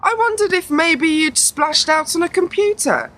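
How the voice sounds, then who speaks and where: A woman's voice: very high-pitched, lively intonation, normal speed, a bit bright, slight background noise. A woman playing a sardonic, bored teenage girl in a radio play, dismissive and sceptical in manner. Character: sarcastic, sceptical, bored teenage granddaughter about to leave for university.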